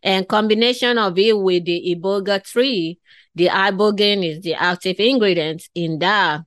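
A woman's voice: mid-range voice, moderate pace, fairly bright tone, dry recording, studio quality. A woman interviewer from West Africa asking long, enthusiastic questions. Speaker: podcast host.

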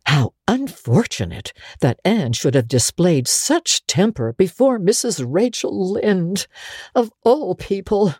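A woman narrating classic literature as a dramatic audiobook, with husky, expressive and measured storytelling. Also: fairly low pitch, no echo, studio quality.

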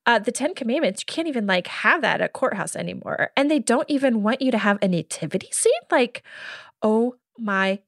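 A woman's voice: on the high side, lively intonation, fairly quick, no echo, studio quality. A woman in her thirties with an American accent chatting casually and exasperatedly as a podcast guest. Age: 30s. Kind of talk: casual chat.

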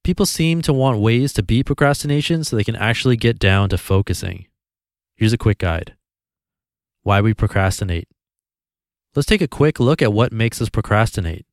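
Man, podcast host, low voice, calm reading, dry close mic. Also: moderate pace, no room sound. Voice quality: calm, even voice.

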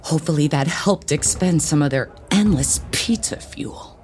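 A woman's voice: sits low, moderate pace, darkish tone, a little hiss or hum, lightly acted. A woman playing a chirpy, nosy church youth helper in an audio drama comedy, flustered and stumbling over her words. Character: bubbly, nosy female church youth helper named Clara.

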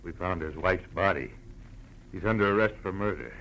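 Deep, menacing police detective. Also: male voice, normal speed, a bit bright. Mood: smug menace. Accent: American. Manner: taunting, tough, sardonic. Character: big menacing male homicide detective, nasty and mocking. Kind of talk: 1940s radio drama acting. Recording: noisy old radio recording.

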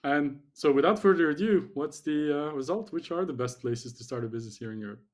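A man's voice: fairly high pitch, a little fast. A man hosting an interview, asking questions in a conversational way. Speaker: host, interviewer.

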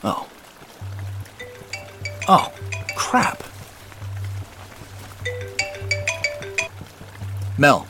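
A man's voice: drawn-out words, music in the background. A man acting in an audio drama as a wry everyman searcher, muttering to himself in a hesitant, informal way. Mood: flustered, alarmed. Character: Joseph, wry everyman searcher puzzling over clues.

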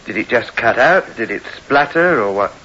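A dogged police inspector presses on courteously in a forceful, lively voice of medium pitch. The old radio drama recording is dull and faintly hissy. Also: male voice, normal speed. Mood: probing, insistent. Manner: probing, insistent questioning. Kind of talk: 1960s radio drama acting.

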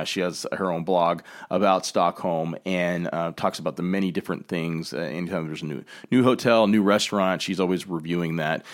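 Host: American man, very low voice, easygoing rambling delivery. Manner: rambling, many restarts and fillers. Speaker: podcast host. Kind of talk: casual travel chat.

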